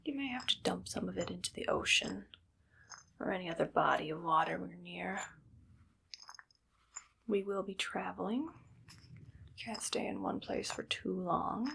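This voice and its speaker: A woman playing a menacing, irritable evil scientist in an ASMR roleplay, whispering bossy orders and deadpan threats with a breathy, creaky edge. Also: lowish voice, unhurried, long pauses, slight background noise, heavily acted. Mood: businesslike, ominous.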